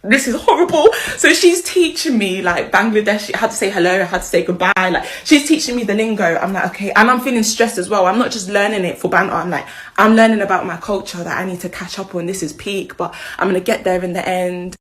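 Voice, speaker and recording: A young British-accented woman tells a story in a medium-pitched voice. She is chatty and slangy, poking fun at herself, and she slips into little acted-out exchanges as she goes. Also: brisk. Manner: chatty, slangy, self-mocking. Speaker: video creator. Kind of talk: casual anecdote telling with acted-out dialogue.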